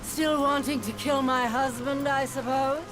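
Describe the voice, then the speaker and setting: A scornful middle-aged goddess queen from a video game. She speaks slowly and languidly, with theatrical, sardonic disdain. Loud music plays behind her. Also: sits high, steady tone. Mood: scornful, amused. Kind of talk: dramatic video game acting.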